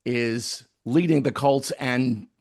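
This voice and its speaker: A man's voice: medium pitch, slow pace, gravelly, darkish tone. A man speaking as an interviewer in a conversational sports discussion. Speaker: interviewer, host.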